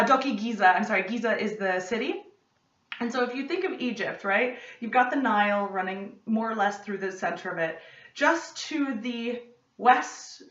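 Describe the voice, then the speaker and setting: A young American woman hosting her podcast, chatting excitedly to camera from her home study and rambling into asides. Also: on the high side. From United States. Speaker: podcast host. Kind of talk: casual chat to camera. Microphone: camera at desk distance. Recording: roomy, slightly distant, dull lo-fi.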